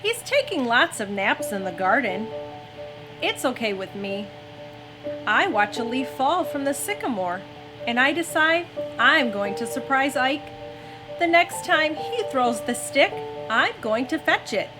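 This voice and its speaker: A woman reading a children's story aloud in an expressive, gentle storytelling manner. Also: high-pitched, measured pace, low-quality recording. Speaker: mother reading aloud on a family story-time show. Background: background music.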